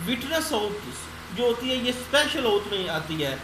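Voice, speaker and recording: Pakistani lady teaching law, highish voice, really slow careful reading, echoey sound. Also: sing-song. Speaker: law lecturer. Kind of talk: lecture reading English notes and explaining them. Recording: roomy echo, loud background noise.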